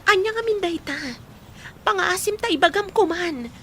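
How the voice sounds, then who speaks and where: A woman playing a bright-voiced eager young graduate. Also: extremely high pitch, normal speed, slight background noise. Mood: eager, pleading. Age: young adult.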